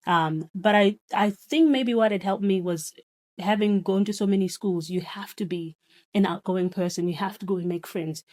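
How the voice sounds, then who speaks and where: A woman in her thirties from Zimbabwe, a guest reflecting on her upbringing with a relaxed, thoughtful manner. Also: mid-range voice, moderate pace. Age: 30s. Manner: reflective, many fillers and soft laughs. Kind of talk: casual chat.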